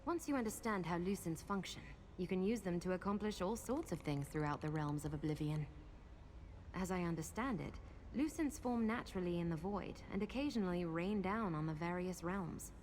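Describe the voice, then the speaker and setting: An actress playing a confident, practical adventuring guide in a fantasy video game, explaining things in a matter-of-fact way. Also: female voice, lowish voice, lively intonation, moderate pace, a little mellow, slight background noise, natural voice, low-quality recording. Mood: explaining, assured. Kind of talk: scripted video game dialogue.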